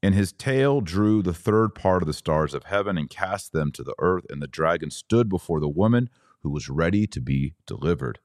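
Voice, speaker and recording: A man with a low, deep voice speaks as a religious podcast host. His delivery is earnest and emphatic, setting out phrases deliberately as he explains and reads aloud. The sound is dry, with very little room to it. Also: no echo.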